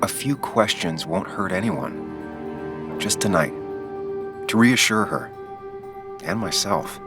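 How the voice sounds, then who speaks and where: A man's voice: on the low side, expressive, slow pace, vocal fry, over music, heavily acted. A man playing a guarded, wry ex-journalist in a video game, speaking in a subdued, dry way. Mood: reluctant, resolved. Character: brooding ex-journalist man back in his hometown, guarded, wry. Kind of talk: naturalistic video game acting.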